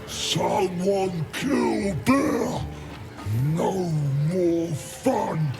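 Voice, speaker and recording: Slow, growling monster brute. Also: female voice, fairly low pitch, creaky, music in the background, full character voice. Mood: sullen rage. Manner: broken speech, heavy and blunt. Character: hulking, simple-minded angry brute monster. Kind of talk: video game character acting.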